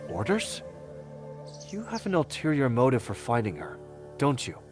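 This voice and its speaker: An actor playing a bold, wary wandering hero in a video game, earnest and quick to question others. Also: male voice, mid-range voice, huge pitch swings, music in the background. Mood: suspicious. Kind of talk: dramatic video game acting.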